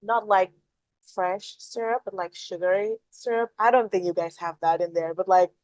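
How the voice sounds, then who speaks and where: A woman's voice: mid-range voice, fairly even intonation, normal speed, darkish tone, rough recording. A woman, chatting casually as a podcast guest and explaining food customs. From Indonesia.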